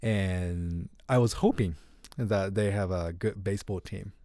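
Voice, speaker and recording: A man with a Japanese accent, whose first language is Japanese, telling his story as a guest in a casual interview in a relaxed, unhurried way. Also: low voice, dry recording, clean studio sound. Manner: relaxed, unhurried, light grammar slips. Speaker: guest musician.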